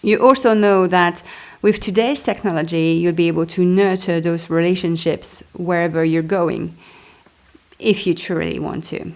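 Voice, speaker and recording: Female author-narrator, lowish pitch, expressive memoir read with chatty asides. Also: moderate pace, creaky. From Belgium. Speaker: author reading and commenting on own memoir.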